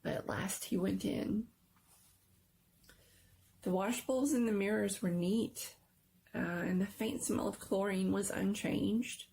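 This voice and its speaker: A woman giving a dramatic, suspenseful narration of a scary story, sometimes hushed and lingering on words. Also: mid-range voice, slow, gravelly, long pauses, slight background noise. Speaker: story reader.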